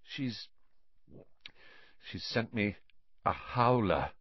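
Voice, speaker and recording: A man's voice: medium pitch, lively intonation, drawn-out words, dry recording, muffled recording, pitched above the performer's own voice. A man voicing a dismayed schoolboy in a faint, gasping manner for a storybook. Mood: faint dread. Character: dismayed, fearful schoolboy dreading trouble. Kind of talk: audiobook character voice.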